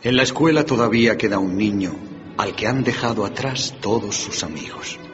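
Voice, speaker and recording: An actor playing a solemn, knowing ghostly spirit guide in a radio drama. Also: male voice, lowish voice, expressive, normal speed, lightly acted. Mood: probing. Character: solemn ghostly spirit guiding an old man through memories. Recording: muffled radio drama with prominent music.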